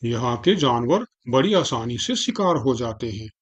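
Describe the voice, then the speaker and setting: A man's voice: mid-range voice, very animated, unhurried, breathy edge. A man voicing a sly, scheming tiger in an animal fable cartoon, with a coaxing, calculating manner. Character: sly, scheming male tiger, smooth-talking predator.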